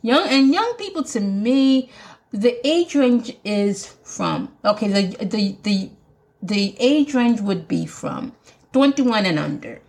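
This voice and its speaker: A woman with a Jamaican accent talks to her podcast listeners in a preaching way. Her voice has a gravelly fry, and her delivery is earnest and emphatic, with some restarts. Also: fairly high pitch, sing-song, slow, darkish tone. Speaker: podcast host.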